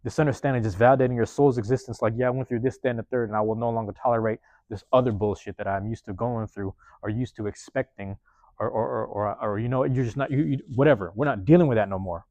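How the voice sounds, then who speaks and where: A man hosting a podcast from a university room, giving a loose, slangy motivational monologue with lots of repetition, his voice getting a bit hoarse. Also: mid-range voice, fast talker, a little mellow, no echo. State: voice going hoarse. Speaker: podcast host.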